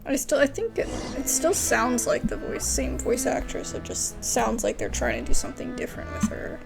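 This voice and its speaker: A woman's voice: sits high, wildly swooping pitch, normal speed, creaky. A woman giving casual gameplay commentary in an informal, slangy way, as a gaming creator. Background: game music.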